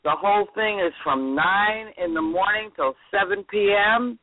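A woman in her seventies from Connecticut, telling stories as a guest in a radio interview in a chatty, lively way. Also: medium pitch, moderate pace, no echo. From Connecticut, USA. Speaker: fashion designer guest. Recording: dry, tinny phone-like radio sound.

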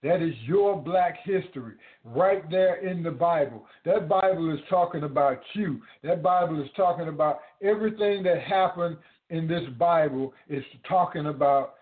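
A man preaching to his listeners, halting often with repeated words and fillers. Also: a little high. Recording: tinny phone-like audio.

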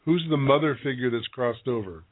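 A man working as a psychic medium who gives halting, searching readings to callers on a live call-in radio show, with many restarts. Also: medium pitch, slight background noise. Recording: dry, narrow phone-like broadcast sound.

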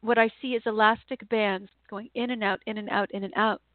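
A woman delivering a channeled spiritual message in a slow, halting, repetitive way, with sighs. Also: mid-range voice, fairly even intonation, no room sound. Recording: tinny phone-like line, dry.

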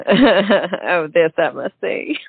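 A woman acting a bossy, skeptical friend in a stage comedy, wry and teasing. She takes her time with a lively, swooping delivery, through a tinny narrow line. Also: on the low side, extremely slow, gravelly, breathy edge, dry recording, exaggerated voice. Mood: skeptical, amused. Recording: tinny, narrow-band sound.

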